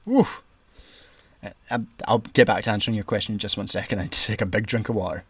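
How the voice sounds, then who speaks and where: A young Scottish man streaming commentary, ranting in an indignant, sarcastic, argumentative way, his voice getting raspy. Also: lowish voice, fairly even intonation, quick pace, dry recording.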